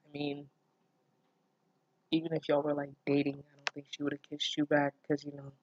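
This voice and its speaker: A person reading fan fiction aloud casually, breaking off for expressive, incredulous asides full of swearing. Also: mid-range voice, normal speed, lo-fi sound. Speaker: online reader reacting to fan fiction. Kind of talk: casual read-aloud with running commentary.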